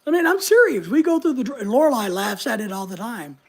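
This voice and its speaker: A middle-aged man with a voice high enough that strangers take it for a woman's. He chats loosely with viewers, joking at his own expense. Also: lively intonation, fairly quick. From United States. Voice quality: high voice often mistaken for a woman's. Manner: chatty, joking, self-mocking. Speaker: channel host reading live chat. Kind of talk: casual livestream chat.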